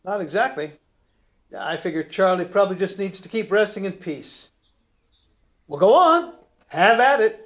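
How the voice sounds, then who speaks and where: A man's voice: high-pitched, expressive, normal speed, long pauses. A man playing a stubborn, plain-spoken small-town fella in a radio play. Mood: easygoing, frank. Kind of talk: radio drama acting.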